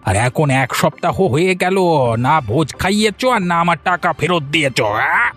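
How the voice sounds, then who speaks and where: Husky, scolding old village moneylender, acted by a man. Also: on the high side, animated, fairly quick, a bit bright. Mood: scornful. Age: older. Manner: haughty, scolding, threatening. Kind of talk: Bengali cartoon voice acting. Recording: music behind the voice.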